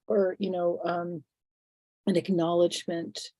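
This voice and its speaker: A woman in her sixties with a lowish, mellow voice, thick and phlegmy from a head cold. She talks reflectively, pausing and restarting as she searches for words. The sound is dry and close. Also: little pitch movement, dry recording. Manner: thoughtful, halting, many fillers and restarts. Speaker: interview guest. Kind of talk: reflective conversational interview.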